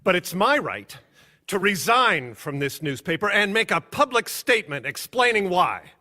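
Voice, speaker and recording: A man's voice: high-pitched, sing-song, moderate pace, bright, forceful tone, heavily acted. A man playing an indignant, principled newspaper reporter in an audio drama, defiant and emphatic.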